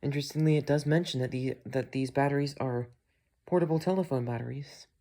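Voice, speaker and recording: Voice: medium pitch, fairly even intonation, brisk, dark tone. A hobbyist talking casually through a hands-on product demo, thinking aloud in a musing, matter-of-fact way. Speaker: amateur video maker, radio hobbyist.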